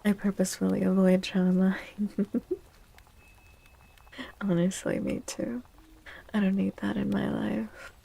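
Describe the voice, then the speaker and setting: A woman speaks in a soft ASMR style, unhurried and low in pitch, with a creaky edge to her hushed voice. She often drops to a near-whisper as she chats to her stream. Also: little pitch movement. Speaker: ASMR streamer, tarot reader. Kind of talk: soft-spoken ASMR live chat.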